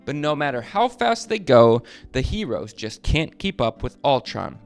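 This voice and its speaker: Animated man reading a storybook. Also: mid-range voice, unhurried, creaky, soft background music. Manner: expressive, dramatic emphasis. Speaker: storybook narrator. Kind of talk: animated children's read-aloud narration.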